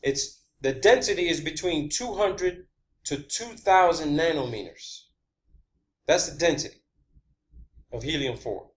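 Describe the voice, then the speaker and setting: A man's voice: fairly high pitch, lively intonation, measured pace, pauses often. A man giving an informal explanatory monologue, blunt and emphatic, repeating phrases for stress. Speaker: amateur presenter giving his own theories.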